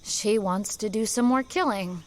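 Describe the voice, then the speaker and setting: A woman's voice: medium pitch, a little slow, close to an everyday voice. A woman playing a tough, weary Old West wanderer in an audio drama, with an American accent and cool, laconic delivery. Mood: grim, resolute.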